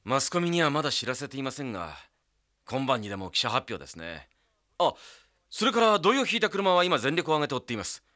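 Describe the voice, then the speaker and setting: Brisk businesslike detective, bright tone, radio drama. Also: male voice, medium pitch, very animated, no echo, studio quality. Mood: reporting. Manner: brisk, reporting. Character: businesslike male police detective. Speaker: police detective.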